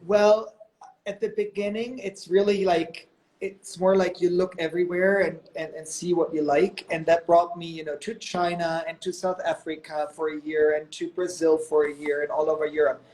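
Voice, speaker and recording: A man's voice: a little high, little pitch movement, moderate pace, darkish tone, rough recording. Chatty man in his thirties. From Germany. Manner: chatty and storytelling, lots of "you know" and "um". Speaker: guest, company executive. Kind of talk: casual interview on a live video call. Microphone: video call.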